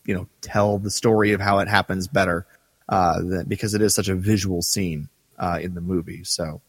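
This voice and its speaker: A man co-hosting a podcast in casual discussion, explaining things in a halting, circling way. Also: sits low, steady tone, breathy edge, no echo, long reverb tail. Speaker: podcast co-host. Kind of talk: casual discussion of a radio drama.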